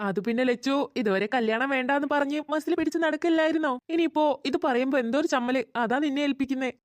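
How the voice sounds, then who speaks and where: A performer voicing a shy young man in a cartoon, coaxing and pleading in a pitched-up voice. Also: quick pace, no echo. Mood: bashful pleading. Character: shy, coaxing young bachelor older brother. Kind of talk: cartoon voice acting.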